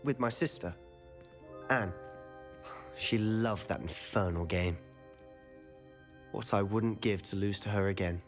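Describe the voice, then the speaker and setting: An actor playing a confident, mischievous and secretive teenage male wizard student in a video game, speaking in a measured, confiding manner. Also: fairly low pitch, soft background music. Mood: confiding, earnest. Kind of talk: video game dialogue acting.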